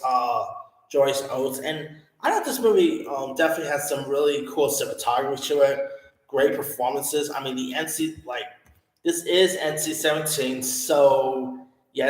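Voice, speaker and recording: A man giving a casual, rambling film review, full of fillers and restarts. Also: mid-range voice. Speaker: movie reviewer. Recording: echoey room with long reverb.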